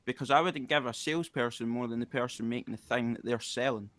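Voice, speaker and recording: A man's voice: medium pitch, quick pace, a bit bright. A man arguing his point in a casual online debate, rambling and restarting often. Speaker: debate participant.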